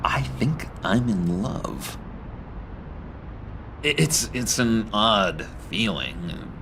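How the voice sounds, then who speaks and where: A man playing an eager, unstable, childlike misfit in a video game, stammering and excitable. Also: lowish voice, extremely slow, background noise, exaggerated voice. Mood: bashful, nervous. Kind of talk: video game character acting.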